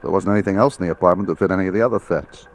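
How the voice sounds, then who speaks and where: A police lieutenant in a vintage radio noir. His voice is extremely low and quick, with a clipped, matter-of-fact, official manner. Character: brisk, businesslike male police lieutenant. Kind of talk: mid-century radio crime drama acting.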